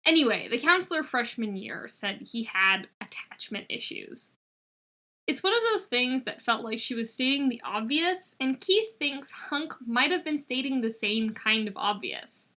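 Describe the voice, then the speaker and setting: Bright, high-voiced woman narrating a story. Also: lively intonation, a little slow. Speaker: fan fiction reader. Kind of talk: expressive scripted story narration.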